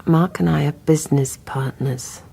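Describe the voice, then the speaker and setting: A worried, guarded woman in a radio drama. Her voice is low, dark and full of creak, clipped and intimate. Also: steady tone, moderate pace, heavily acted. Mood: tense, guarded. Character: guarded, worried grown daughter of a missing mother.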